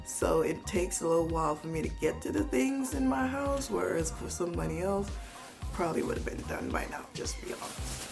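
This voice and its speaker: A woman with a raspy, hoarse voice from a cold, chatting casually to viewers in a home haul vlog, rambling with asides. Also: on the low side, lively intonation, brisk, over music. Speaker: home organizing vlogger.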